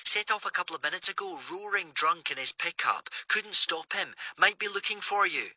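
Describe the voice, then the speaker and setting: A man voicing a frantic young woman on the phone, talking in urgent, hushed bursts. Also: high voice, lively intonation, normal speed, dry recording, pitched above the performer's own voice, heavily acted. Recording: dull, muffled recording.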